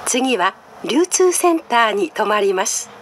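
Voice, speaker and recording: A woman's voice: mid-range voice, big pitch swings, a little slow. A woman reading scripted train announcements in a polite, formal, carefully enunciated way, played over a monorail car's speakers. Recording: train cabin noise behind announcement.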